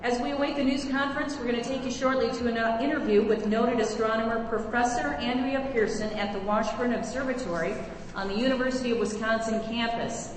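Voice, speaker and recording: Actress as a formal, composed female radio newsreader, higher voice, very echoey hall. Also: fairly even intonation, a little fast, natural voice. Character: composed female radio news anchor in a drama. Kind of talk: scripted radio news bulletin. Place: live theater stage. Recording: very echoey hall, faint noise.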